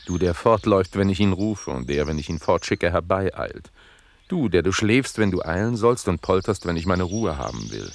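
A man playing a lordly, inquisitive master in a radio play, imperious and teasing, with a husky voice. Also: low-pitched, lively intonation, normal speed, a little hiss or hum. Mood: scolding, teasing. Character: lordly, inquisitive master scolding his servant.

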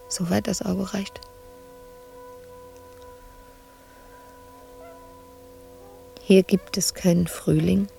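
An actress playing an embittered, lonely middle-aged woman sculptor in a radio drama monologue, husky and intimate, often slipping into a whisper. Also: lowish voice, dark tone. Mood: hushed, wistful. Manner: brooding, intimate, shifting between whisper and outburst.

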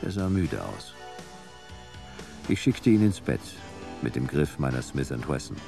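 An actor playing a laconic, hard-boiled future private detective, speaking in terse sentences in a noir radio play. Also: male voice, very deep voice, very animated, measured pace, vocal fry, over music, exaggerated voice. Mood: terse, brooding. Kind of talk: noir first-person narration and radio play acting.

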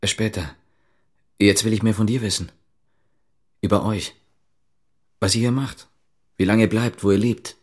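A man's voice: lowish voice, steady tone, unhurried, long pauses. An actor playing a suspicious, methodical man in a radio drama, questioning someone in terse, controlled phrases. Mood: guarded, insistent. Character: suspicious, probing, methodical man interrogating a visitor. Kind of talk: naturalistic radio drama acting.

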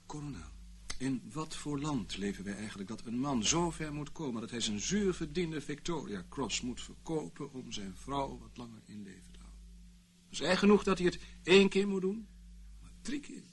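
An actor playing a sarcastic, mock-polite intelligence official in a radio play, speaking dry, leisurely officialese. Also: male voice, mid-range voice, animated, normal speed, natural voice. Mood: mock sympathy. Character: wry, sarcastic male intelligence official, calmly toying with his captive. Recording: noisy old radio play recording.

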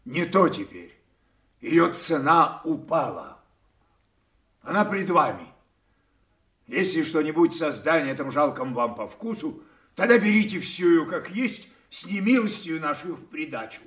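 A man's voice: fairly high pitch, lively intonation, a little slow, fairly bright tone. An actor playing a proud, hot-tempered old king in a theatrical audio drama, grand, commanding and declamatory. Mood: cold, scornful. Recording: roomy echo, somewhat distant from microphone.

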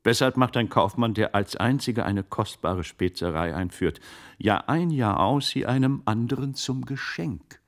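An actor playing a shrewd, courteous gentleman of old Italy in a German radio play, with formal, measured delivery. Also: medium pitch, lively intonation, close to an everyday voice. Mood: probing, sly.

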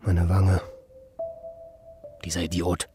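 An actor playing an aimless city drifter in a radio play, talking and musing in short, dry phrases. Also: male voice, low voice, level tone, slow, loud music behind the voice. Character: aimless, plain-spoken male city drifter. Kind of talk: radio play dialogue and first-person narration.

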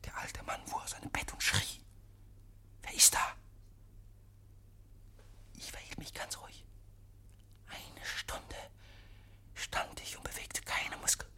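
Whispering, haunted madman confessing murder. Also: bright, forceful tone. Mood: hushed, tense. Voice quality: breathy, whispering. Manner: halting, feverishly intense, repeating phrases. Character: deranged male murderer confessing to police, haunted, obsessive. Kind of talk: 1950s German radio drama monologue.

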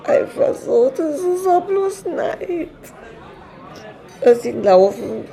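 A brash, mocking woman of the bar in a radio drama. Her voice is high and gravelly, drawling slowly, with a murmuring crowd behind her. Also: sing-song, mellow, full character voice. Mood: mocking, dismissive. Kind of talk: radio drama character acting.

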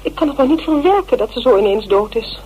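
An actress playing a prim, loyal older theatre dresser in a radio drama, hesitant and disapproving. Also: female voice, a little high, lively intonation, fast, highs cut off, slight background noise, lightly acted. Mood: shocked, mournful.

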